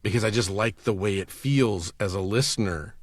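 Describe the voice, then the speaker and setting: A man's voice: on the low side, normal speed, dry recording. A man chatting casually as a podcast guest, an audio engineer talking with enthusiasm. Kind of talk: casual chat.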